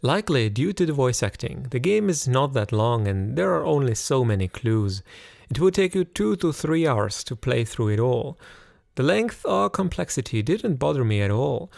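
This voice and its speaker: A male game reviewer reads a scripted narration. His intonation moves up and down a lot, with a gravelly fry in the voice. The sound is close and dry. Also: mid-range voice, sing-song, normal speed, a little mellow, dry recording.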